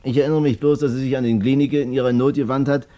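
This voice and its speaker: An actor playing a deferential, colloquial storyteller who recounts events anecdotally in a German radio drama. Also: male voice, mid-range voice, steady tone, quick pace, mellow, close to an everyday voice. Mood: defensive. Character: deferential storyteller recounting an old court affair.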